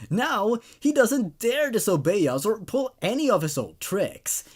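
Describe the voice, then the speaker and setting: A man's voice: high voice, big pitch swings, a little slow, a little mellow, slightly rough, pitched above the performer's own voice, exaggerated voice. A man voicing an exasperated mother who gives an earnest, dramatic infomercial testimonial about a child in a story reading.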